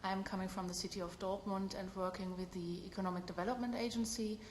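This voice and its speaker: Woman, mid pitch, orderly, echoey hall with faint hum. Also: steady tone, fast. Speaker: economic development officer. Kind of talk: round-table self-introduction.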